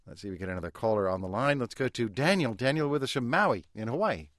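Radio host, male, dry close mic, steady middle pitch. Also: no room sound. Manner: measured and conversational. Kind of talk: public radio talk show hosting.

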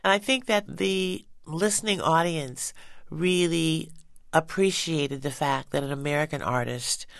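A middle-aged woman singer, a guest in a conversational interview, animated and quick to laugh. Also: sits low, creaky, no room sound, clean studio sound. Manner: animated, laughs easily, talks with passion.

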